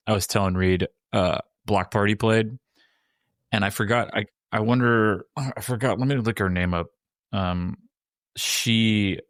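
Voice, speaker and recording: Rambling forty-year-old man, low voice. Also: dry recording. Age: 40s. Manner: rambling, trails off and restarts, swears casually. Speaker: podcast co-host. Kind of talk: casual chat. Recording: dry close sound.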